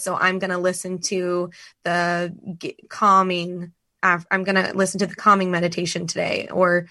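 A woman with a raspy voice, chatting enthusiastically as a podcast guest and laughing often. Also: fairly low pitch, pushed and bright. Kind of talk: casual podcast chat.

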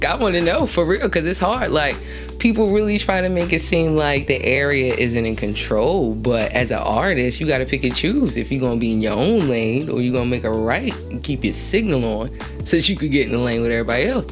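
A woman's voice: rumbling low, lively intonation, a little fast, faint music behind. A woman hosting a casual interview, chatty and slangy, asking long, rambling questions and laughing easily. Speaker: interview host.

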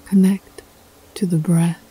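A woman leading a guided meditation in a soft, breathy voice that often sinks near a whisper, hushed, soothing and unhurried, with poetic scripted phrasing. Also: on the low side, level tone, rough recording. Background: music.

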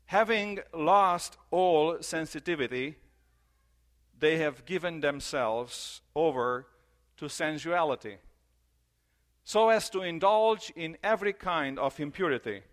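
A man preaching a sermon in English, his second language, earnest and repeating key phrases, slowing down for emphasis. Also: a little high, expressive. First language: not English. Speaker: pastor.

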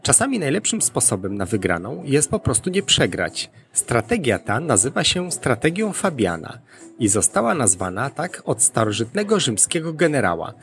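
Male narrator, mid-pitched, animated delivery, creaky fry, scripted educational podcast. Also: moderate pace, faint music behind.

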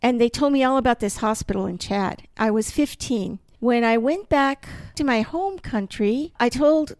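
A middle-aged woman from Colombia, Spanish her first language, telling her life story as a podcast guest in an earnest, animated way. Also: fairly high pitch, normal speed, dry recording. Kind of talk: personal testimony in an interview.

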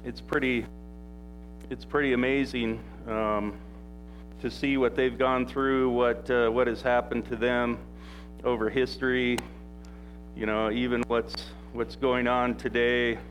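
A pastor preaches in a relaxed, wandering manner, stopping often on small fillers and restarting his sentences. His pitch sits in an ordinary middle range, and music plays clearly behind him in the church. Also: unhurried, poor audio. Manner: rambling and conversational, full of fillers and restarts; says he has a loud voice. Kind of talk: informal sermon.

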